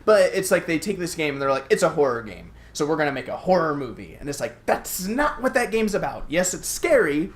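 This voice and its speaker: A man's voice: a little high, very animated, a little fast, hardly any pauses. A man co-hosting a casual podcast discussion, speaking in an opinionated, emphatic way. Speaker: podcast co-host. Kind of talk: casual chat about games and movies.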